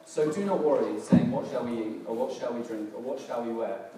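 Hoarse man preaching in echoey hall. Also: medium pitch, fairly quick, a crowd in the background. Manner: relaxed, self-deprecating, hesitant with fillers and restarts. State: slightly hoarse, crackly voice. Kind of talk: casual church sermon. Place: church hall, in front of a congregation. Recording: distant, very echoey hall with background noise.

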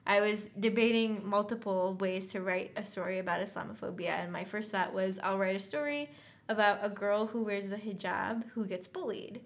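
A woman's voice: mid-range voice, normal speed. A woman co-hosting a podcast as a story writer, casually explaining her thinking in a reflective way.

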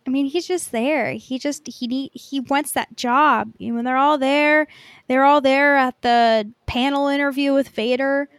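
Woman, high voice, dry close sound, informal fan podcast chat. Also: steady tone, unhurried, dry recording. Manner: informal, peppered with "like". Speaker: podcast co-host.

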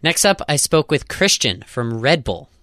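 A man hosting a podcast, interviewing exhibitors at a trade show in a jokey, upbeat way with quick affirmations. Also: on the high side, big pitch swings, fairly bright tone. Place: outdoor trade show floor.

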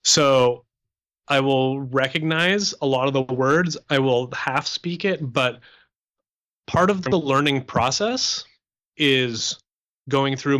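A young man from Canada speaking as an interview guest, explaining his life as a musician in a conversational, sociable way. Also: medium pitch. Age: young adult. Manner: animated explaining, sociable extrovert.

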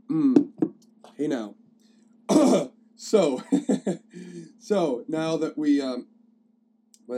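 A hoarse man with a cold hosting his own podcast, rambling casually with many fillers and restarts as he streams live from his phone. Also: mid-range voice, dark tone. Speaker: podcast host. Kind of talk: casual solo podcast talk.

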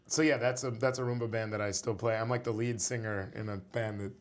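A man's voice: lowish voice, fairly even intonation, brisk. A man, a musician guest in an interview, talking casually and rambling with many fillers.

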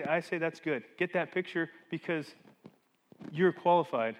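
A man with a notably high voice leads a church sermon into a microphone. He talks to the congregation like a friend, full of rhetorical questions, and swings between loud emphatic phrases and quiet asides. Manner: voice rises and falls sharply for emphasis. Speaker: pastor.

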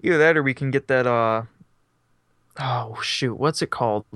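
Young male co-host, casual chat, smooth clear voice, dry close sound, rambling and self-deprecating. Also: mid-range voice, normal speed, fairly bright tone, dry recording. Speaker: podcast co-host. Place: on a couch at home. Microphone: microphone set up beside him.

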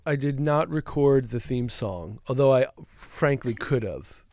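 A man's voice: mid-range voice, lively intonation, measured pace, a little mellow, dry recording. A man co-hosting a podcast, bantering in a playful, sassy way in casual chat. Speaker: podcast co-host.

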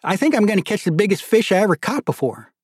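A man's voice: high-pitched, very animated, quick pace, breathy edge, dry recording, clean studio sound, pitched above the performer's own voice, heavily acted. A man voicing an eager, guileless young man with childlike enthusiasm in an audiobook story. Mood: eager, proud. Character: eager, innocent, excitable simple-hearted young man.

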